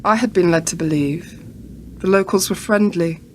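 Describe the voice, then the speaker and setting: An actress playing a terse, suspicious secret agent in a radio play, answering in clipped phrases. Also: female voice, normal speed, lightly acted. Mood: guarded. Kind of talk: radio drama acting. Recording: very noisy, vehicle-like background rumble.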